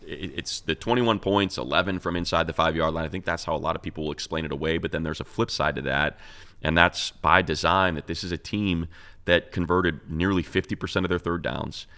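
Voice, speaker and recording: A man's voice: sits low, fairly even intonation, a little fast, sharp tone. A man co-hosting a sports show, breaking down football in a casual, conversational way with repeated words for emphasis. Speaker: sports show co-host and analyst. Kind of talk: casual sports talk analysis.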